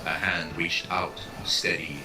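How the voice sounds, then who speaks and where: A man's voice: low voice, level tone, takes it slowly. A man narrating a scripted dramatic story as the narrator of an audio drama. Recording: roomy echo with music underneath. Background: music.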